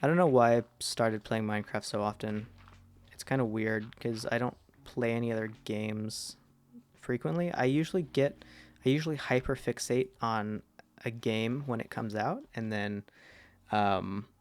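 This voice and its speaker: A young man with a deep voice chatting casually with his stream chat while he plays, rambling and self-deprecating, trailing off with fillers. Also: normal speed. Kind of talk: casual gameplay commentary to chat.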